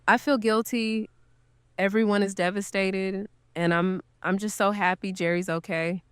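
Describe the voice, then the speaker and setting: A woman's voice: medium pitch, flat delivery, a little slow, smooth, no echo, close to an everyday voice. A woman playing a kind, earnest newcomer's host in an audio drama, speaking casually and sympathetically. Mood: grateful, guilty. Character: kind, grateful woman welcoming a newcomer, gently guilty.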